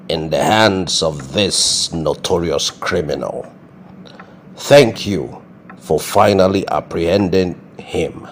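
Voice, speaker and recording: A low, slow-spoken king played by a man in a comedy skit. He is stern and grandly formal, delivering his words with ceremony. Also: a little hiss or hum, heavily acted. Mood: grandly pleased.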